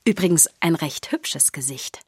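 A woman narrating a children's radio play in a wry, measured storybook style. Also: low voice, slightly rough, no echo, studio quality.

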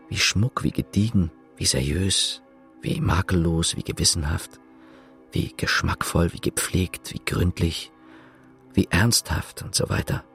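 Radio drama narrator; deep, sober, reflective and coolly ironic literary storytelling. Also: male voice, vocal fry. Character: sober, ironic, detached male narrator of a novel.

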